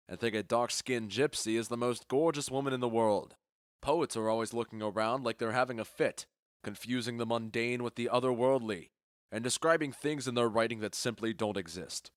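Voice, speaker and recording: A man's voice: mid-range voice, no echo, studio quality, close to an everyday voice. A man playing a confident, genial Athenian duke in a modernized Shakespeare audio drama, speaking in a self-assured, declamatory way. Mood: dismissive, musing.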